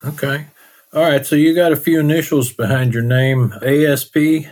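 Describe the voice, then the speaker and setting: A man's voice: a little high, fairly even intonation, moderate pace, a little mellow. A man with a Texas accent hosting a podcast interview in an easygoing, friendly way. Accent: Texan. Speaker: podcast host.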